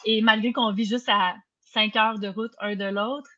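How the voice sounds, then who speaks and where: A woman's voice: medium pitch, fairly even intonation, a little slow, pushed and bright. A woman hosting a live online interview over a video call, friendly and enthusiastic, with filler words. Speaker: host of the interview. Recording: dull lo-fi video call audio.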